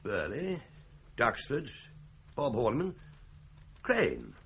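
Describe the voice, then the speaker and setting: A man's voice: mid-range voice, huge pitch swings, takes it slowly, a bit bright, full character voice. An easygoing British office lawyer in a radio drama. He talks casually with plenty of rise and fall. The sound is slightly muffled and hissy. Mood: offhand. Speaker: actor in a radio crime drama.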